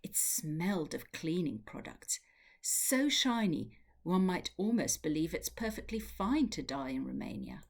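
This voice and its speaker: Low-voiced woman reading literary prose. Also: sing-song, a little slow, creaky, husky. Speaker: literary translator reading from a novel. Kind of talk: audiobook-style narrated prose reading.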